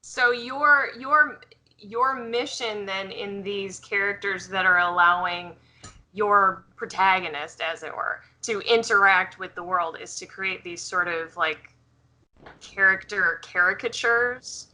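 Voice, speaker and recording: A woman co-hosting a casual interview, asking analytical questions in halting phrases. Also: mid-range voice, sharp tone, low-quality recording. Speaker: interviewer, co-host.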